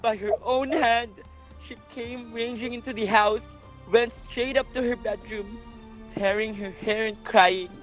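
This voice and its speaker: A man's voice: very high-pitched, fairly even intonation, a little slow. A man playing a grave messenger of doom in a readers' theatre Greek tragedy, with dramatic, emphatic delivery. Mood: horrified, grieving. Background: music.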